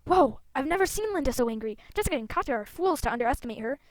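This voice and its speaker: Girl reading as a brave young rider heroine, high earnest voice, game dialogue. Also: expressive, very fast, no room sound. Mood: urgent.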